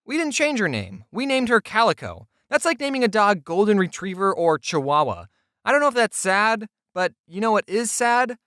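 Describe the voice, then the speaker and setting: Comedic storyteller for scripted narration. Expressive, wide-ranging intonation, playful with deadpan asides, very dry studio-like sound. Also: medium pitch, moderate pace, no room sound. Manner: playful, self-deprecating, with deadpan asides and acted quotes.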